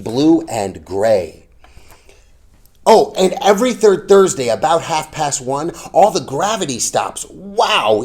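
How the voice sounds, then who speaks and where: Reader as an eager, cheeky schoolboy, very animated. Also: medium pitch. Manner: eager, earnest, tall-tale telling. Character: imaginative, cheeky, unabashed young schoolboy storyteller.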